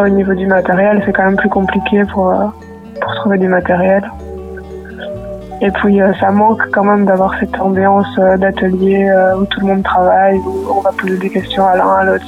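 Young woman, twenties, from Belgium, hesitant, fillers, flat pitch, dry, phone-like sound. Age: 20s. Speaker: student sending a message. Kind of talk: personal voice message.

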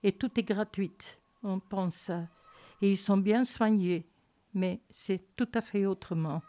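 A woman whose first language is Dutch, speaking as a talk show guest in halting French with pauses mid-sentence. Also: mid-range voice, warm tone.

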